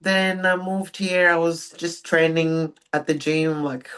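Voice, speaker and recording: Soft-spoken woman. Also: low-pitched, steady tone, takes it slowly, clean tone. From Greece. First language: Greek. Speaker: guest, pro bodybuilder. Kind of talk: casual interview.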